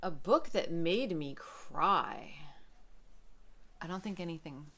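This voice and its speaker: A woman book vlogger chatting casually to camera in an expressive, emphatic way. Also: on the low side, slow.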